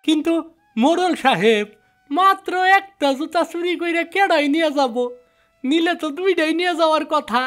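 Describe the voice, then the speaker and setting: A woman's voice: very high-pitched, moderate pace, fairly bright tone, faint music behind. A woman playing a questioning male village servant in a cartoon folktale. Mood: puzzled.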